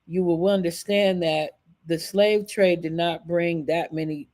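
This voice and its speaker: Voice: medium pitch, fairly even intonation, measured pace, darkish tone. An American live stream host giving a casual, opinionated talk on history, speaking emphatically and conversationally with blunt swearing. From United States.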